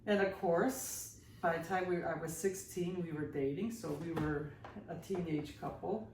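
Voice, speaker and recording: A woman's voice: on the low side, measured pace, a little mellow, a little hiss or hum. A woman in her sixties co-hosting a podcast, telling stories casually with a playful, teasing manner in a roomy recording made at some distance from the mic. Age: 60s. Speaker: podcast host. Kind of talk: casual conversational storytelling.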